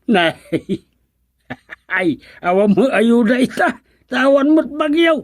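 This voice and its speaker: A woman's voice: fairly high pitch, sing-song, takes it slowly, no room sound, full character voice. A woman acting an amused, scolding village official in a radio comedy drama, with a Filipino accent and chiding interjections.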